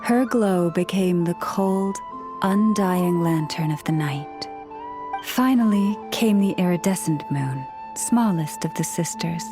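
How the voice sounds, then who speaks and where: A woman playing a serene, modest young priestess in a video game, speaking in a measured, solemn, formal way. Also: lowish voice, music in the background. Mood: solemn, reverent. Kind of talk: video game storytelling dialogue.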